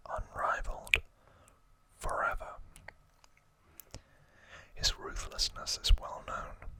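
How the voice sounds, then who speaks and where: A man speaks in a soft, breathy whisper as an ASMR host. The whisper is very bright and sibilant, with almost no voiced tone. He reads and comments in a hushed, deliberate way. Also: poor audio.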